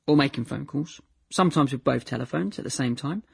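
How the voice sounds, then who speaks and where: An actor playing a naive young man in a radio drama monologue, recalling his childhood in a deadpan, chatty way, often correcting himself. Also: medium pitch, fairly quick, gravelly, slightly rough, no echo, studio quality, heavily acted. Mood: wry, animated. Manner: deadpan, chatty, self-correcting, trailing into "yeah".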